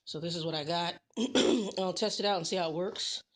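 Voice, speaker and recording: A woman's voice: on the low side, moderate pace. A woman truck driver vlogging in a casual, rambling way, repeating herself and calling her viewers y'all. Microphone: phone.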